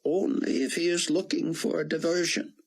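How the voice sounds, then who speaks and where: A man voicing a kindly, cautious older male scientist who speaks gently and protectively. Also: a little high, flat delivery, normal speed, gravelly, breathy edge, pitched above the performer's own voice.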